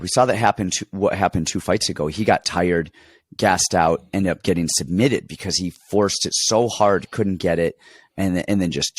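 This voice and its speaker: A deep-voiced man, stuffed up and congested, rambles through a casual fight breakdown. He tells animated stories full of restarts and asides. The sound is close and dry, with no room around it. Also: husky, no echo. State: congested, getting over an illness. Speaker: podcast co-host and fight coach. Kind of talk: casual sports betting chat.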